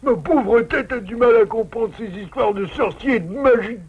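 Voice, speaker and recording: A man's voice: extremely high pitch, moderate pace, husky, phone-quality sound, exaggerated voice. A man voicing a timid, simple-minded, superstitious animal in a French cartoon, with a naive sing-song delivery. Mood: gullible, puzzled. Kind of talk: French animated series dubbing.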